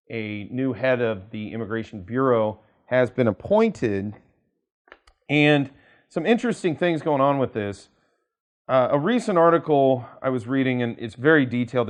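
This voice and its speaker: American man giving measured, emphatic commentary. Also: medium pitch. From United States. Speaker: attorney presenting a legal news channel. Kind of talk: news commentary to camera, quoting articles.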